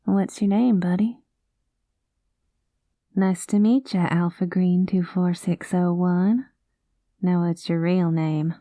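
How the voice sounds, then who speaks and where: Woman doing a gentle space cowgirl pilot, folksy cowboy talk, slow, mellow, dry close sound, ASMR roleplay. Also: lowish voice, fairly even intonation, dry recording. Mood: easygoing. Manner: folksy drawling cowboy talk, calls listener partner; gentle and soothing.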